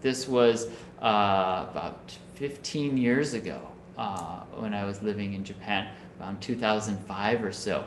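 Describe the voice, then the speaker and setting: A man's voice: on the low side, unhurried, echoey room, slight background noise. A man from Minnesota, a Buddhist minister, giving a conversational Dharma talk over a video call and often checking in with listeners. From Minnesota, USA. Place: home room on a video call.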